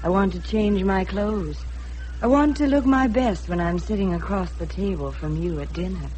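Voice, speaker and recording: A woman's voice: medium pitch, normal speed, darkish tone, lightly acted. An actress playing a poised, candid young rich woman in a radio drama, speaking directly with cool composure. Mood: coolly teasing. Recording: noisy vintage radio drama recording.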